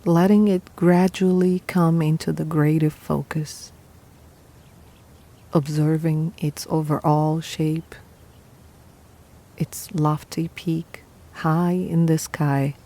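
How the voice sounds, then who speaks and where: A woman guiding a meditation in a calm, unhurried, soothing manner, leaving pauses between short phrases. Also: deep voice. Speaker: meditation guide. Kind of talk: guided meditation, scripted narration.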